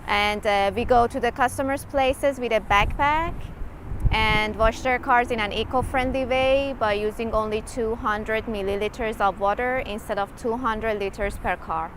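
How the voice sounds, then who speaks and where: Young iranian woman, bit high voice, soft spoken, lots of uhs, faint noise. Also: steady tone, moderate pace. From Iran. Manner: soft-spoken, with many fillers. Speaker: CEO of a startup. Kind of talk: casual self-introduction.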